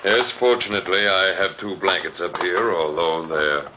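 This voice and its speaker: An actor playing a huge, embittered backwoods recluse with slow, formal, ominous courtesy in an old-time radio drama. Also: male voice, sits low, fairly even intonation, background noise, close to an everyday voice. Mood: eerie courtesy. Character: giant, ugly, embittered recluse, menacingly courteous backwoods host. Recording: dull, muffled old radio recording.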